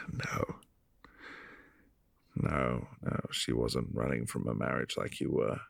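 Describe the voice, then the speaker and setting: A man playing a brooding, possessive vampire prince in a boyfriend roleplay, speaking low and hushed and sometimes dropping to a whisper. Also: fairly even intonation, slow pace, creaky, dry recording, full character voice. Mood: wistful.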